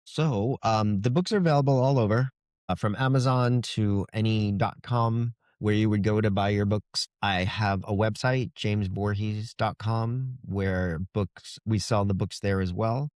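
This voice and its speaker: A man's voice: on the low side, no echo, clean studio sound. A man speaking as a guest author in a casual interview, in a loose, conversational way as if thinking aloud.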